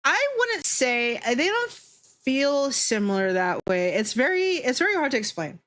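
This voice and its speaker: High-voiced woman chatting casually on a livestream. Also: measured pace. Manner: animated, opinionated, sweary. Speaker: livestreamer hosting a Q&A.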